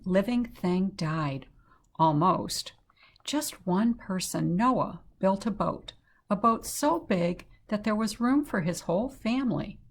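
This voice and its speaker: Lower-voiced woman reading to children. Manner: simple, deliberate phrasing addressed to children. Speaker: children's story time host. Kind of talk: read-aloud storytelling for young children.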